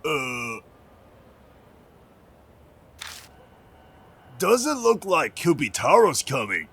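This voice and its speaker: A man playing an excitable, slangy, superstitious teenage boy sidekick in a visual novel dub. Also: fairly high pitch, a little slow, slightly rough, heavily acted. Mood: unsure.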